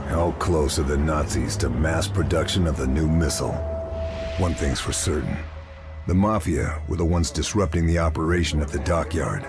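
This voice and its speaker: An actor playing a laconic, stoic American wartime sniper, terse and deadpan, in a video game cutscene. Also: male voice, extremely low pitch. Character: stoic, laconic WWII military sniper, a man. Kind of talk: dramatic video game cutscene acting and narration.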